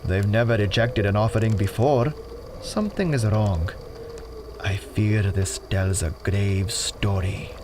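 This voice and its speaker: A man's voice: on the low side, unhurried, natural voice. A man playing a grave, wary monk who guards secrets, speaking in solemn, formal phrases in a pulp radio adventure. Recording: noisy mix with ambient effects.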